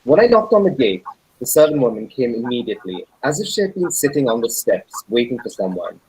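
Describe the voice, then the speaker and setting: A man reading a novel aloud as an actor, in a deliberate, earnest, dramatic narration. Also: medium pitch, big pitch swings, a little mellow, husky, no room sound, low-quality recording.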